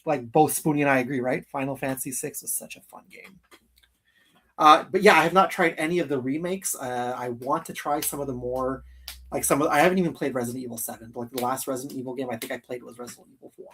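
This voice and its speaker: A man's voice: medium pitch, fast talker, a bit bright, slightly rough, far from the mic. Enthusiastic man chatting on a gaming livestream. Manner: enthusiastic, emphatic repetition of phrases. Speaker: host of a live gaming countdown show. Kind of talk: casual livestream chat. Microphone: streaming call microphone.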